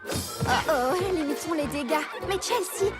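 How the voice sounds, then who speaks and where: A woman's voice: high voice, measured pace. A woman voicing a cheerful adult camp leader in a French cartoon dub, announcing acts in an upbeat way. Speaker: camp host. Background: music.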